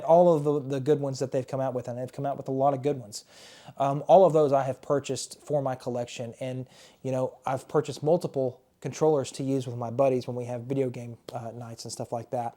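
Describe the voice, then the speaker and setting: A man's voice: on the high side, warm tone. Enthusiastic man showing off retro games. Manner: chatty, enthusiastic, repeats words. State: losing his voice. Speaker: retro game collector and YouTuber. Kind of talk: casual show-and-tell vlog.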